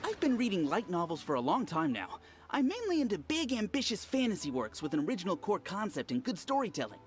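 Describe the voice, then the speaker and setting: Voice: mid-range voice, huge pitch swings, quick pace. An actor voicing a seasoned, discerning, slightly jaded fan in an anime game, opinionated and musing.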